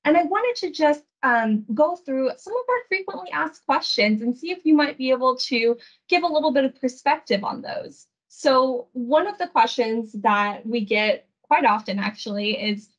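A woman's voice: sits high, animated, moderate pace, few pauses, husky. A young woman hosting an interview over a video call, asking friendly, polished questions. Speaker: interview host. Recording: slightly dull video call audio.

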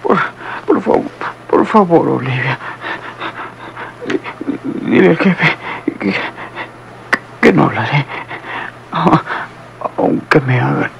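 A man's voice: on the high side, sing-song, takes it slowly, vocal fry, pauses often, exaggerated voice. An actor playing a badly wounded, frightened man who is weak and in pain, gasping and repeating himself in an old radio drama. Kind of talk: 1950s-style radio drama acting. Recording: dull, noisy old radio recording.

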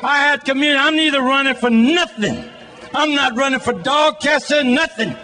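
High, forceful New man in his seventies. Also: normal speed. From Brooklyn, New York. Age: 70s. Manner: militant, folksy, defiant. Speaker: community activist at a rally. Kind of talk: improvised protest speech. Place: outdoor city rally stage. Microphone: handheld microphone.

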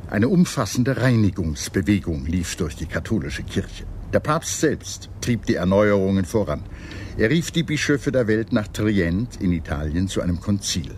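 A man reading scripted historical documentary narration in a measured, articulate storytelling manner. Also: fairly low pitch, lively intonation, darkish tone, slight background noise. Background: background music.

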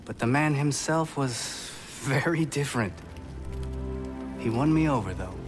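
A man playing a warm, wistful warrior telling a tale in a video game, measured and fond. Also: mid-range voice, lively intonation, lightly acted. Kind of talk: video game cutscene acting. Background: music.

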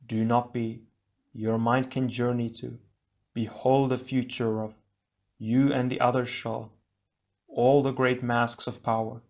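A mysterious, solemn voice from a vision, as a man puts it on. It speaks very slowly in broken fragments. It is done as a figure in a read-aloud adventure book. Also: medium pitch, level tone, a little mellow, natural voice.

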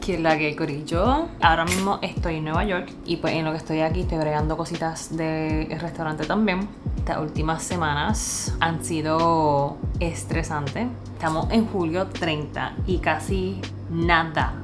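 A woman in her thirties with a Puerto Rican accent, vlogging casually at home and in her car, chatty and expressive, slipping into English mid-sentence. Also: low voice, unhurried. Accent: Puerto Rican Spanish. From Puerto Rico. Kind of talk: casual vlog, Spanish mixed with English. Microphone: camera at arm's length and dashboard camera. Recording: music bed under the voice.

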